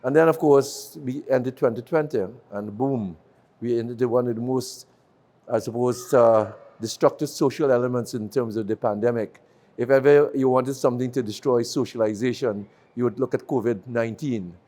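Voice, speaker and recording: A man's voice: mid-range voice, normal speed, dark tone. A man from Guyana, answering interview questions in a rambling way, full of restarts and fillers. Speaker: businessman interviewee.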